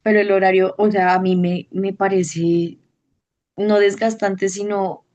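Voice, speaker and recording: A woman's voice: medium pitch, flat delivery, unhurried, a little mellow, lo-fi sound. A woman, a teacher giving a testimonial in an interview. She speaks in a thoughtful, hesitant way, with restarts. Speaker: au pair program participant, teacher.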